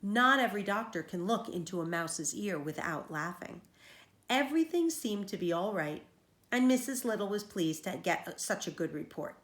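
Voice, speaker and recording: A woman's voice: medium pitch, sing-song, moderate pace, a bit bright, reverberant. A woman teacher reading a children's storybook aloud, explaining things to young listeners as she goes.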